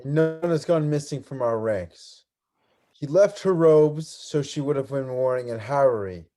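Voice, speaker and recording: A man's voice: mid-range voice, a little slow, dark tone, lightly acted. A man playing a stern young ronin in a dramatic reading, speaking in a formal, commanding manner. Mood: stern, suspicious. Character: stern young male ronin guarding monastery nuns.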